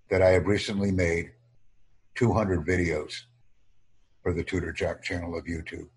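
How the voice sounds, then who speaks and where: A man teaching an online English lesson, speaking English natively in slow, formal, measured short phrases. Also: low-pitched, slightly rough. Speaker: English tutor.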